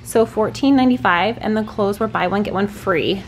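A woman's voice: mid-range voice, moderate pace, slight background noise. A woman in her thirties vlogging casually from a parked car and a hotel room, rambling with lots of fillers. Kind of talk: casual vlog chat. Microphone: camera held at arm's length.

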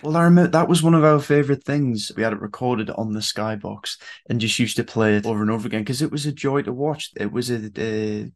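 A man's voice: medium pitch, quick pace, few pauses. A British man in his twenties, chatting casually as an interviewer. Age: 20s. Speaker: interviewer, host. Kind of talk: casual chat. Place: bedroom, indoors on a video call.

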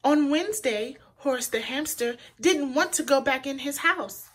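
A woman reading a children's picture book aloud in an expressive, deliberate way, as a storyteller for young listeners. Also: sits high. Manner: pauses to point out words to young listeners; animated, deliberate delivery for children.

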